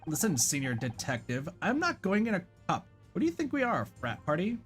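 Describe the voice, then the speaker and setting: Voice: medium pitch, huge pitch swings, fairly quick, soft background music. A performer voicing a flamboyant, sassy male hair and makeup artist in a video game, with theatrical delivery. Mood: indignant, flamboyant.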